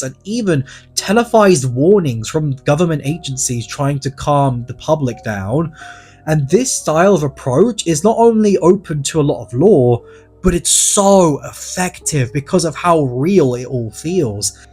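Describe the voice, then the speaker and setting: A man's voice: mid-range voice, darkish tone, slightly rough. A man narrating a scripted video essay in an earnest way, leaning on words for dramatic emphasis. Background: background music.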